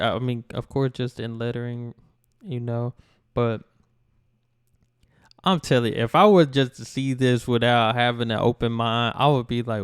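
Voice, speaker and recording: A man's voice: mid-range voice, clear, resonant tone, no room sound, studio quality. A young American man hosting a reaction video, talking in a chatty, rambling and enthusiastic way. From United States. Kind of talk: casual reaction commentary.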